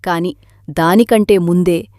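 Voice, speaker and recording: A woman's voice: lowish voice, steady tone, a little slow, warm tone, dry recording, studio quality, natural voice. A woman voicing a scheming, resentful daughter-in-law in a cartoon family story. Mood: annoyed.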